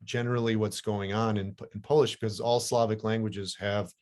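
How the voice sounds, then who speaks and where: A man whose first language is Ukrainian, hosting a podcast and explaining things in a conversational, animated way with frequent restarts. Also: fairly low pitch, moderate pace. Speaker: podcast host. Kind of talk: conversational interview.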